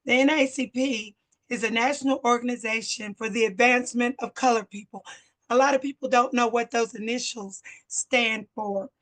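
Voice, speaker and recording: An older woman from Missouri, a bit hoarse, giving an earnest talk at a community meeting as a chapter president. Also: on the high side, steady tone, moderate pace, fairly bright tone. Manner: earnest, explanatory, short phrases with fillers. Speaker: guest speaker, local civil rights chapter president.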